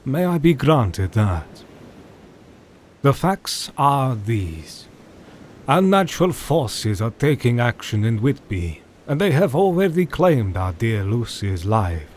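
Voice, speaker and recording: A grave, slow-speaking old mentor doctor. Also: male voice, medium pitch, sing-song, darkish tone, a little hiss or hum. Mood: grave, urgent. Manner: formal, deliberate, theatrical. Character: grave older male doctor and mentor, solemn vampire hunter. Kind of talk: audio drama acting.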